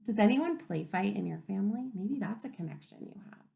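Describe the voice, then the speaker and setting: A woman reading a picture book aloud to young children as a teacher, expressively, pausing to wonder aloud and ask them questions. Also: medium pitch, gravelly. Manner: expressive, wondering aloud, inviting listeners to reflect.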